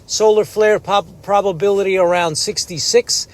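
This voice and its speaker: A man's voice: high voice, steady tone, moderate pace. A man who makes amateur radio videos, speaking casually as he narrates and operates.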